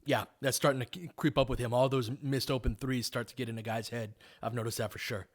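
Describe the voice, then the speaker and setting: A man's voice: medium pitch, fairly even intonation, fast. A man calling live basketball play-by-play in a casual, conversational, analytical way. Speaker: play-by-play host.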